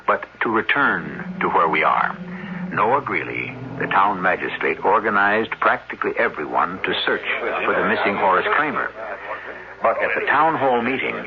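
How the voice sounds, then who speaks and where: A man's voice: low-pitched, lively intonation, normal speed. A man hosting a radio drama with formal, theatrical scripted narration. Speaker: radio show host. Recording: muffled old radio, clear hiss.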